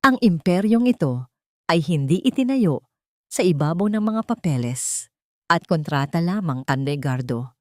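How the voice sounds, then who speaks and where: A woman voicing a quiet, steady young heiress. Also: lowish voice, measured pace, no echo, studio quality. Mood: firm. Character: young heiress, grieving but resolute.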